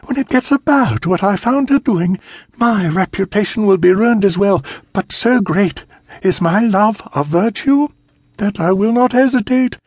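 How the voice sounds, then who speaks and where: Brief: male narrator doing a high, shrill, scolding governess woman, prim and wrathful, in a storybook reading. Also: animated, unhurried, a little mellow, no echo. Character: stern prudish middle-aged female governess, scolding.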